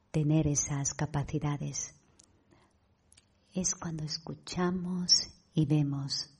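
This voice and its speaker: Spanish lady from spain doing guided meditation, super breathy husky voice, mellow and calm, takes her time with pauses. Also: low voice. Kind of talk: guided meditation talk to an online audience.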